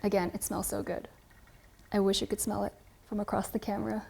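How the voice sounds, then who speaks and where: A woman's voice: medium pitch, flat delivery, fairly quick, creaky, a little mellow, slight background noise. A woman in her twenties with an American accent, telling her own story casually to camera in a chatty, reflective way. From United States, California. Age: 20s. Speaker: coffee content creator and barista.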